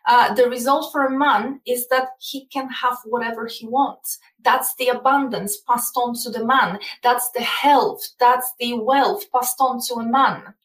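A woman's voice: fairly high pitch, steady tone, unhurried, few pauses, fairly bright tone, reverberant, recorded from a distance, rough recording. A woman with a Polish accent, talking as a guest in an interview, explaining earnestly and often repeating words. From Poland. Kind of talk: casual interview talk.

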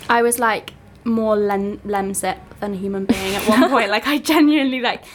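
A woman's voice: mid-range voice, moderate pace, faint music behind. A young woman from Cornwall co-hosting a podcast, bubbly and full of laughs and fillers, a bit snotty with a cold. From Cornwall, England. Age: young adult. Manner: bubbly, laughs often, lots of "like". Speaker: podcast co-host. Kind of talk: casual chat. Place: student radio studio.

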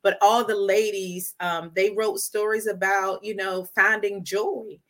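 A woman's voice: medium pitch, little pitch movement, measured pace. A woman guest on an interview podcast, talking earnestly and animatedly about her life and work as a storyteller. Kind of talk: casual interview talk.

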